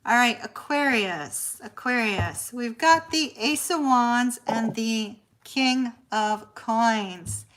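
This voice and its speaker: A woman's voice: fairly high pitch, slow, few pauses. Woman reading tarot, losing her voice. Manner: chatty, warm reassuring delivery, says y'all. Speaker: tarot reader. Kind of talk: live-stream tarot reading.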